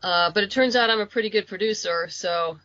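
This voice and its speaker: A woman's voice: mid-range voice, a little fast, a bit bright, no room sound. A woman chatting casually as an interview guest, talkative and a little self-deprecating. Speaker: podcast guest, writer and producer. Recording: dull lo-fi voice track.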